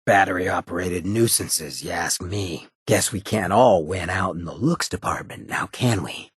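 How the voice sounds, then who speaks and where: A male actor playing a roguish, swaggering ghoul leader in a video game, with a gravelly rasp, an American accent and a cocky, streetwise drawl. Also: sits low, a bit bright, no room sound, studio quality, exaggerated voice. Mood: dry, cocky. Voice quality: raspy, gravelly. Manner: cocky, streetwise drawl with clipped slangy phrasing. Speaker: companion character in a video game. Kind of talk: video game character voice lines.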